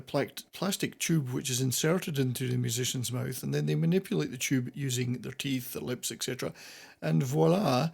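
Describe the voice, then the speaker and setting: A man with a creaky, gravel-edged voice at a middling pitch presents a music countdown. His delivery is semi-scripted commentary, wordy and playfully florid, in the manner of a rock channel host. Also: darkish tone.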